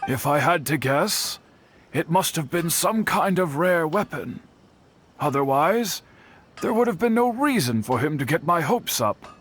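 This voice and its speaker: An earnest, worried young friend. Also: male voice, a little high, animated, a bit bright, husky, full character voice. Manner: earnest, measured. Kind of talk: game voice acting.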